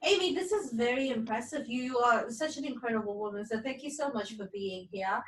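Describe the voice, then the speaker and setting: A woman co-hosting a casual chat in an animated, gushing manner, recorded in a roomy space away from the microphone. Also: fairly high pitch, a little fast, far from the mic, slight background noise. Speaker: podcast co-host.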